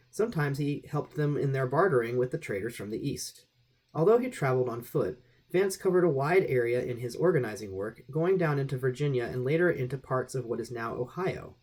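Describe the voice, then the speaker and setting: Male audiobook reader for vintage true-mystery articles, medium pitch, very varied melody. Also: animated, brisk, darkish tone. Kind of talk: scripted narration of a vintage magazine article.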